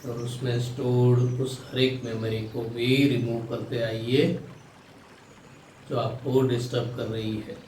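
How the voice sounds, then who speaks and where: Slow, even-toned Indian man guiding meditation. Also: medium pitch. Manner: calm, soothing, unhurried instruction with long pauses. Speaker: guided meditation instructor. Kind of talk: guided meditation narration. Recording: roomy echo, distant mic, faint noise.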